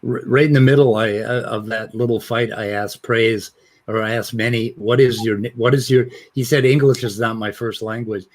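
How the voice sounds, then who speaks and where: A man hosting a debate review stream, in a casual, rambling chat with halting restarts. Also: mid-range voice, fairly even intonation.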